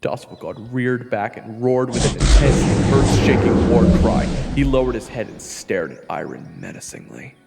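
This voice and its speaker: A man's voice: medium pitch, lively intonation, moderate pace, gravelly, hardly any pauses, long reverb tail, over loud music. A man narrating a first-person fantasy audio drama, telling the story as one of its characters.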